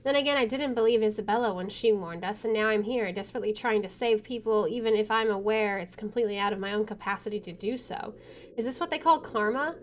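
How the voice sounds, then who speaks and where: A woman's voice: medium pitch, fast talker. A young woman hosting a game channel, reading a visual novel aloud and breaking into jumpy, chatty reactions. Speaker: gaming channel host.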